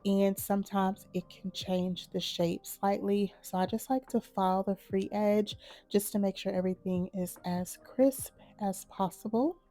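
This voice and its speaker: A woman with a slightly hoarse, mellow voice explains a nail tutorial in a relaxed, matter-of-fact way. Her tone sits low and warm rather than bright. Quiet music plays faintly behind her. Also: measured pace. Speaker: nail art channel host. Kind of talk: casual step-by-step tutorial narration.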